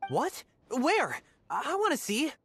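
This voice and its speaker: A woman voicing an earnest, excitable, wide-eyed child adventurer in a video game. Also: high-pitched, a little slow, no room sound, faint music behind, exaggerated voice. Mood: curious.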